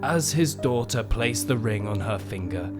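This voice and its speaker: Male actor as eerie gaunt Death, low-pitched, formal, deliberate, courteous, audio drama, clear music underneath. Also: animated. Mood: wistful, dry. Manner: slow, deliberate, formal storytelling. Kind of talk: gothic comic audio drama acting. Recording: music bed behind the voice.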